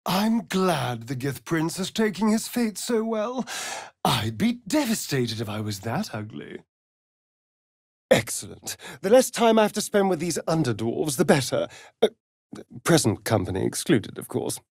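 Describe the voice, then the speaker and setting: A sly vampire rogue from a fantasy video game. He talks in an arch, mocking drawl, unhurried and theatrical, with pitch that swoops freely. The sound is dry and close, every vain aside savored. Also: big pitch swings, gravelly, dry recording, full character voice.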